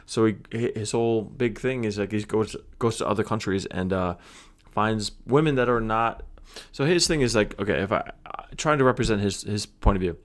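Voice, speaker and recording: A man hosting a reaction video, giving casual, hesitant commentary with frequent pauses and restarts. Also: lowish voice. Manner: hesitant, many fillers and restarts.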